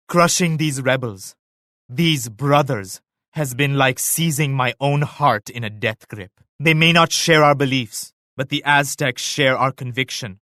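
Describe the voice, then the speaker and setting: An actor playing a devout, solemn emperor and military leader in a strategy game, with measured, grave, pious declamation. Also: male voice, mid-range voice, a bit bright, dry recording, studio quality, lightly acted. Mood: ominous, measured. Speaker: video game character voice actor. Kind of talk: scripted strategy game voice lines.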